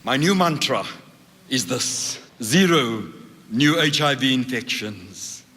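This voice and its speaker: A man's voice: mid-range voice, animated, slow pace, sharp tone, breathy edge. A man speaking emphatically and rhetorically at a church conference. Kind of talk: speech at a conference. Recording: reverberant hall.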